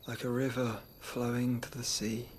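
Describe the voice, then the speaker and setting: An actor playing a gentle, solemn young nobleman, weary and wounded, speaking formally in a soft, breathy voice near a whisper. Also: medium pitch, level tone, a little hiss or hum, lightly acted. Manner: measured, formal, reflective speech. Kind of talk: game cutscene acting.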